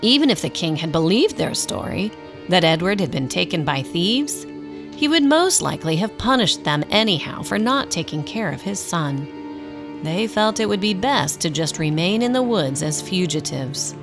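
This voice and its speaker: A woman acting as the narrator of a radio drama, telling a scripted story in a slightly formal, expressive storybook style. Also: lowish voice, moderate pace, over music. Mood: storytelling. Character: storybook narrator, wry and unhurried.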